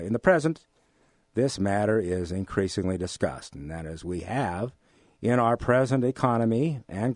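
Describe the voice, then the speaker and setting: A mature American man answers interview questions like a lecturer. His voice sits fairly low, and he explains deliberately, repeating points for emphasis. The sound is dry, with very little room on it. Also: a little mellow, dry recording. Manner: deliberate, professorial, emphatic repetition. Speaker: economics professor, interview guest. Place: radio studio.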